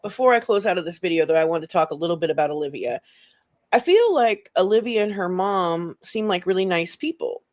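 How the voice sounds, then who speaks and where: A woman's voice: on the low side, animated, brisk, a little mellow. Blunt woman giving casual commentary. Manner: blunt, mocking, colloquial. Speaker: host of a commentary channel.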